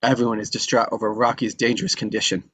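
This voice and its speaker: Low-pitched man reading stage directions. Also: level tone, quick pace, fairly bright tone, dry recording. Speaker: action narrator. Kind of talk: scripted narration at a table read.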